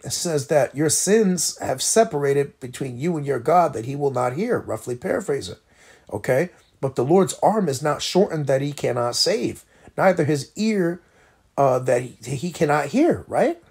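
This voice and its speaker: A man teaching scripture in a loose, rambling street style, slowing down to read verses aloud. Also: on the high side, expressive, darkish tone, husky. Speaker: street preacher.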